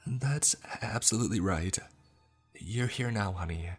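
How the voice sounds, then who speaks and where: A man's voice: on the low side, a little slow, husky, soft background music, pitched above the performer's own voice. A man voicing a ghostly mother, sorrowful and sweetly coaxing, in a horror story.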